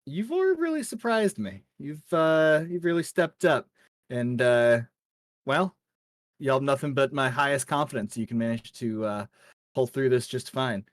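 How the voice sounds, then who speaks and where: A man voicing a seasoned, folksy mentor in a tabletop game, talking in an encouraging, colloquial, halting way. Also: medium pitch, lively intonation, no room sound, clean studio sound, pitched above the performer's own voice, natural voice. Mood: proud, encouraging. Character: seasoned folksy male mentor sending young adventurers out.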